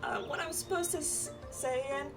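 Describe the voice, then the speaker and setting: A woman's voice: high-pitched, brisk. A woman voicing a nervous, flustered young male astrologer in a game, hesitant and stammering. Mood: reluctant, awkward. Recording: distant mic, game music behind.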